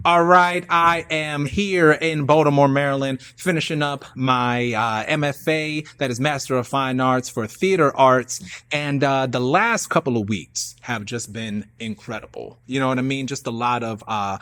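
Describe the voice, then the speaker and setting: Young American male theater artist, bright punchy voice, loose and expressive, casual direct-to-audience talk. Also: mid-range voice, unhurried, clean tone, no echo. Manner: slangy, expressive, talks to the audience. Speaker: theater artist and guest speaker. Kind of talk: casual, freewheeling monologue.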